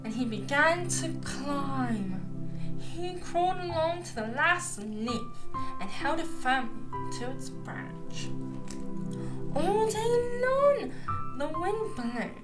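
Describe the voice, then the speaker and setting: A woman hosting a children's storytime, reading a picture book aloud in a slow, expressive, sing-song way. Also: sits high. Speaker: storytime host. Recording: roomy distant sound with loud music. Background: loud music.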